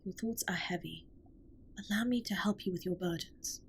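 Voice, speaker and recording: A woman playing a formal, caring alien spaceship captain in an intimate audio drama, speaking in a measured, precise way with a breathy, husky voice. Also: on the low side, a little hiss or hum. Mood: hushed, tender. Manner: formal, measured, precise wording with few contractions. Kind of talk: scripted roleplay audio drama, intimate comfort monologue.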